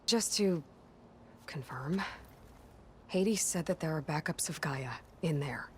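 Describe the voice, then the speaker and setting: An actress playing a determined, suspicious young outcast warrior in a video game, with a dry, blunt, sarcastic and guarded delivery. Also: female voice, low-pitched, animated, slow pace, slight background noise. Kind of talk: video game cutscene acting.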